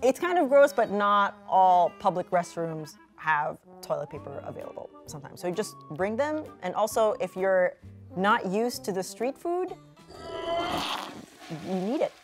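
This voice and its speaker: A woman's voice: mid-range voice, huge pitch swings, a little slow, soft background music. A young adult woman with a Filipino accent, co-hosting a casual travel chat and saying "like" a lot. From Philippines. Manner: chatty, uses "like" often. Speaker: co-host. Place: indoor studio set with a sofa.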